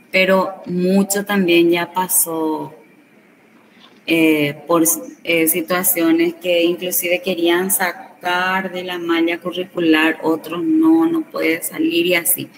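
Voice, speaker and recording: Woman, teacher, slow explanatory delivery, distant mic, faint room noise. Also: fairly low pitch, steady tone. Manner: slow explanatory, checks understanding with tag questions. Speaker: Guarani teacher. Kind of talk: classroom lesson. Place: classroom.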